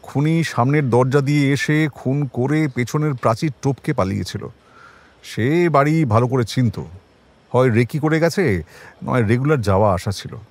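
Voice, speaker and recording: A male actor playing a shrewd, world-weary senior detective in a crime audio drama, with a measured, wry, colloquial delivery. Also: medium pitch, a little mellow, lightly acted. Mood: focused, thoughtful.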